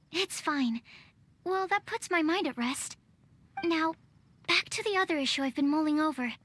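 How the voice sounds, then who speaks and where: A woman's voice: high-pitched, unhurried, pauses often, fairly bright tone, a little hiss or hum. A woman voicing a shy, earnest young female alchemist in a video game, hesitant and thinking aloud. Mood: musing. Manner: hesitant, musing, overthinking. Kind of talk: game voice acting.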